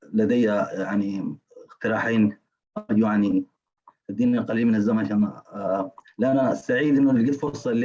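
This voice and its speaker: A man with a Sudanese Arabic accent and a low voice speaks on a video call. He talks fast in bursts but stalls often on fillers. The call audio sounds dull. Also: darkish tone. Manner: halting, many fillers and restarts. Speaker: participant.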